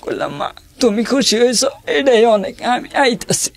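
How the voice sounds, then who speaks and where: A man's voice: very high voice, a little slow. A young man, telling his own story in a plain, hesitant way. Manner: plain, hesitant, simple colloquial speech. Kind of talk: personal testimony in an interview.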